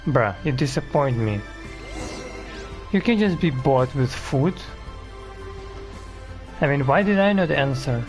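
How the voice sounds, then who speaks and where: A man's voice: medium pitch, animated, takes it slowly, pauses often, music in the background. A man giving casual, slangy, grumbling commentary as he plays a video game. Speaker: gaming streamer.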